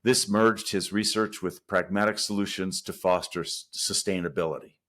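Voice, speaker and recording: A man hosting a podcast, reading a scripted introduction of his guest in a steady, announcing manner. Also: low-pitched, moderate pace. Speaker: podcast host.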